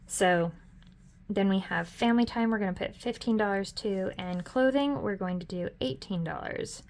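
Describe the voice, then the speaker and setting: A middle-aged woman walking through her family budget in a chatty, upbeat way, a little hoarse and correcting herself as she goes. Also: on the low side. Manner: chatty and upbeat, with frequent ums and self-corrections. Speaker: budgeting vlogger. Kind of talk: casual budget walkthrough.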